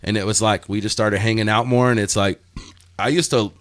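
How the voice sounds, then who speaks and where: A man's voice: on the low side, steady tone, a bit bright. A man, a rapper telling stories in a casual podcast interview, cursing freely in a laid-back way. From Texas. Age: 30s. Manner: laid-back storytelling, heavy cursing, quick laughs. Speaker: guest rapper.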